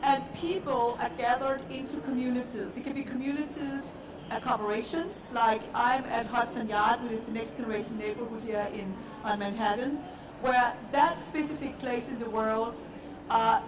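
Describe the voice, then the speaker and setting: Danish woman, high voice, echoey hall. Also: steady tone, moderate pace. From Denmark. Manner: earnest, repetitive, emphatic. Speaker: panelist. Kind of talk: panel discussion. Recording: echoey hall, distant mic, noisy.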